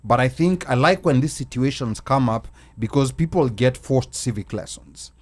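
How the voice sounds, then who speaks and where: A man hosting a casual panel talk, animated and repeating words as he makes his point. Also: mid-range voice, normal speed. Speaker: show host.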